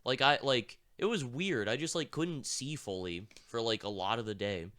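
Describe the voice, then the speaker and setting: A young man with a sick, rough voice, co-hosting a podcast in rambling casual chat, swearing and laughing often. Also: medium pitch, normal speed, sharp tone. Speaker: podcast co-host.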